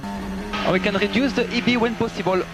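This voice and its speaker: A man's voice: sits high, steady tone, normal speed, vocal fry, over music. A male race engineer giving terse instructions over team radio, clipped and repeating key commands. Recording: motorsport radio with loud background sound.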